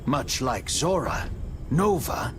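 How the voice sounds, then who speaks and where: Male game narrator, theatrical storybook delivery, highly animated intonation, slightly slow and deliberate pacing, scripted. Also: a little hiss or hum. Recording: music under the voice.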